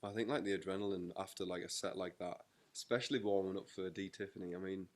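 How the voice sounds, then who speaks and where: A man's voice: deep voice, fairly even intonation, quick pace. A young man with a North East English accent, interviewing in a casual, slangy chat. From North East England.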